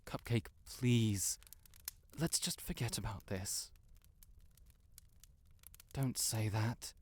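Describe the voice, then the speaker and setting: A man playing a proud, flustered young wizard in an intimate fantasy audio roleplay, halting and defensive yet earnest. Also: on the low side, a little mellow, husky, exaggerated voice. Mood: pleading, uneasy. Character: proud, stuck-up young male wizard, flustered tsundere best friend in love.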